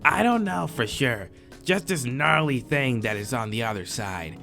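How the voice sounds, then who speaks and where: A man's voice: mid-range voice, lively intonation, a little slow, gravelly, bright, forceful tone, soft background music, heavily acted. A man voicing a laid-back, friendly surfer-dude moth monster in a visual novel, with slangy, drawling talk. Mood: laid-back, animated. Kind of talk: visual novel character read aloud.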